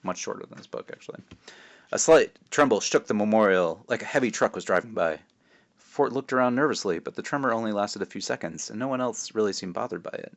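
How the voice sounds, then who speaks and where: Low-voiced man, casual children's author reading. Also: animated, fast. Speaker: children's author reading his own book. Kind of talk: casual introduction and storybook narration.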